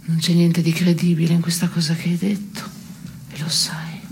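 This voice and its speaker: An actress playing a dry, disillusioned woman in a radio theatre piece, giving terse, deadpan replies. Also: fairly low pitch, normal speed, a little hiss or hum, close to an everyday voice. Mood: dry, detached. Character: dry, disillusioned woman answering her melancholy companion. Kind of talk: avant-garde radio theatre dialogue.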